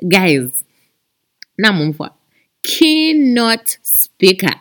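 Unhurried Zambian woman hosting a podcast. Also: mid-range voice, slight background noise. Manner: expressive, addresses listeners familiarly as friends. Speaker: podcast host. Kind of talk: chatty podcast monologue with announcements.

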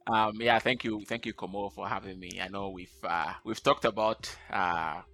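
Bright-voiced Ghanaian man, podcast guest. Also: on the low side, steady tone, moderate pace, creaky. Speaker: podcast guest, data analyst. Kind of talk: casual interview chat.

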